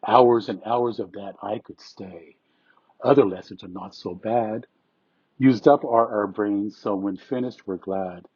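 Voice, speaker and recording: A man's voice: on the low side, a little slow, husky, no echo. A man presenting and reading rhymed poetry aloud, with short introductions, on a dull lo-fi recording.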